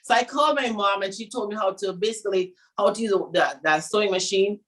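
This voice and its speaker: A woman's voice: medium pitch, fairly quick. A middle-aged woman from Jamaica, a fashion designer, telling her story in an interview and acting out the people she quotes. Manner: animated storyteller who acts out quotes.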